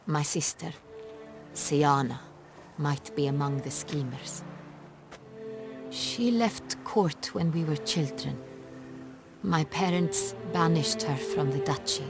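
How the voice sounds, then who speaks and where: A woman playing a poised, earnest noble ruler in a fantasy video game, with formal and measured phrasing. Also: low voice, vocal fry, music in the background, heavily acted. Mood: uneasy. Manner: formal, measured, precise wording. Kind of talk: video game dialogue acting.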